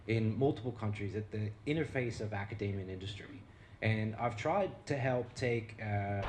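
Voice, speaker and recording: A low-pitched American man addresses an audience from a lecture stage. He talks through his research in a loose, conversational way, with plenty of ums and restarts. A faint hum of ambient noise sits under the voice. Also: darkish tone. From United States. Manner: conversational, with frequent fillers and restarts. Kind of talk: public talk with slides.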